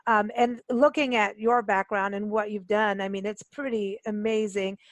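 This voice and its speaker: A woman's voice: mid-range voice, steady tone, moderate pace, dry recording, lo-fi sound. A woman hosting a radio interview in a casual, chatty and enthusiastic way. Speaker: radio show host.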